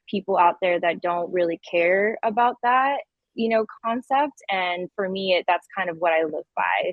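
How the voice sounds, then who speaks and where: Low-voiced woman, casual podcast guest, slightly dull sound. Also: no room sound. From Connecticut, US East Coast. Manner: informal, conversational. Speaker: podcast guest, event planner. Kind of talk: casual interview chat.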